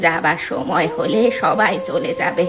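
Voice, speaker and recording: A woman's voice: sits low, lively intonation, unhurried. A woman voicing a scolding yet caring old village midwife in a cartoon, speaking in a matter-of-fact way. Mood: grave, consoling. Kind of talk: Bengali cartoon voice acting. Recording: music behind the voice.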